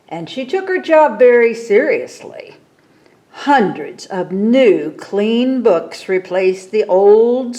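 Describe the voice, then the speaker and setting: A woman with a slightly low voice reads a children's picture book at a very unhurried pace. She is expressive and steps out of the text to explain things to young listeners. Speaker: library story time reader. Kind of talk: read-aloud of a children's picture book with asides.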